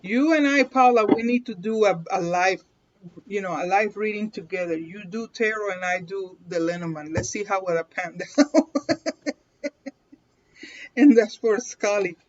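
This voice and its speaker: A woman's voice: medium pitch, a little slow, pauses often. Chatty Puerto Rican woman on a stream. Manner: chatty, keeps checking in with "okay?", laughs often. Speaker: card reader hosting a live stream. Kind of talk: casual livestream chat and reading. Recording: dull lo-fi stream audio.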